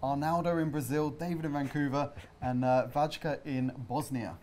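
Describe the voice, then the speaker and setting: Co-host guy rattling off names from the chat, quick and upbeat. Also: fairly high pitch, steady tone, darkish tone, a little hiss or hum. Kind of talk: reading out viewer locations.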